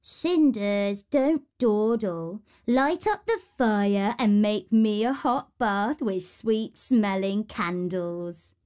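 A woman voicing a horrid, scornful stepmother in a storybook tale, with a sharp, commanding manner. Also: medium pitch, animated, takes it slowly, few pauses, clear, resonant tone. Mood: bossy.

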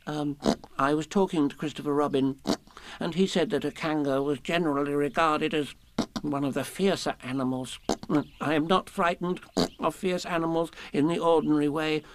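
Timid tiny male pig, soft and hesitant, as a man puts him on. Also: a little high, fairly even intonation, moderate pace, no room sound, pitched above the performer's own voice, natural voice. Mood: nervous.

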